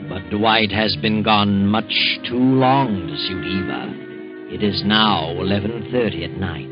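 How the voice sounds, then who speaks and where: An actor playing an ominous, all-knowing storyteller narrating a 1940s radio mystery. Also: male voice, fairly low pitch, slow pace. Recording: muffled vintage radio recording. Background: dramatic music.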